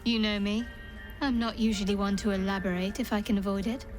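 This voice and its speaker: Actress doing a guarded young cleric lady in a video game, kinda wry n reserved, soft music behind. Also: medium pitch, fairly even intonation, close to an everyday voice. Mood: guarded, pensive. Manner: measured, reserved, faintly wry. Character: guarded, secretive young woman cleric of a dark goddess.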